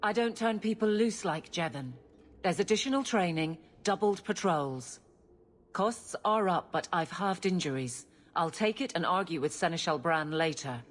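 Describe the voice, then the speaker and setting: Female guard captain voiced by a woman, game cutscene: lowish voice, dry, brisk, dutiful, some ambient noise. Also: animated, close to an everyday voice. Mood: dry, teasing. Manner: dry, matter-of-fact. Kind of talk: video game cutscene acting.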